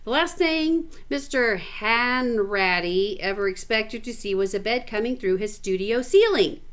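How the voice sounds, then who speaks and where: A woman's voice: fairly high pitch, a little slow. A middle-aged woman, a teacher, reading a picture book to children with chatty asides. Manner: animated, chatty, explains words to children.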